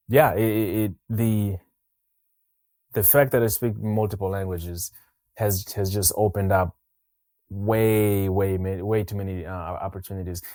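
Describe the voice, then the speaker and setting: A man with a very low, deep voice hosts a relaxed podcast conversation. He talks in a halting way, stopping and restarting his sentences often. The sound is dry and close, with little room echo. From Mozambique. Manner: halting, many restarts and fillers. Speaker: podcast host. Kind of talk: casual interview chat.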